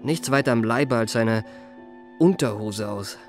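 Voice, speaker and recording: An actor playing a refined, self-enamoured memoirist, narrating a radio play in an ornate, savouring manner. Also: male voice, mid-range voice, unhurried, faint music behind, natural voice. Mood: wry.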